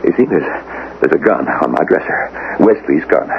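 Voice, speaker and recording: A man's voice: a bass voice, animated, moderate pace, gravelly, exaggerated voice. Flustered, guilty businessman on muffled radio. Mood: guilty, menacing. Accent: American. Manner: stammering, flustered, snapping. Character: agitated, guilty, irritable businessman confessing to murder. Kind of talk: 1970s radio drama acting. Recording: narrow-band, faintly noisy 1970s radio recording.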